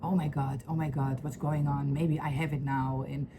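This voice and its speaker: A woman with a Dutch accent, speaking earnestly to camera at home in English, often pausing to search for words. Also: low-pitched, flat delivery, noisy background. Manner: searching for words, many fillers, soft and earnest. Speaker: vlogger. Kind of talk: personal heartfelt talk to camera. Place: home room with a couch.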